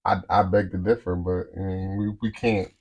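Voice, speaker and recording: A man's voice: sits low, level tone, moderate pace, a little mellow. Slangy American man over noticeable noise. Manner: slangy, profane, teasing and contrary. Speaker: podcast co-host. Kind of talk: casual chat, banter.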